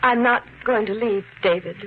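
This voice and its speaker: An actress playing an anxious, devoted newlywed wife, polite but resolute, in 1950s radio mystery style. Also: female voice, fairly high pitch, normal speed, phone-quality sound, close to an everyday voice. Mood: resolute, fearful. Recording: noisy, band-limited old radio recording.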